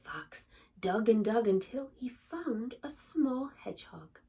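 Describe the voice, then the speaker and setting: Very animated woman reading a children's bedtime story. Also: a little high, husky, distant mic. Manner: animated, dramatic, deliberate phrasing for small children. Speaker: storyteller reading a picture book aloud for children. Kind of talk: expressive children's bedtime story read-aloud.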